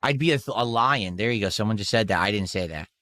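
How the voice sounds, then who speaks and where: A man's voice: on the low side, fast, sharp tone, no room sound. A man in his forties streaming, answering chat in a blunt, defensive way and swearing casually. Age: 40s.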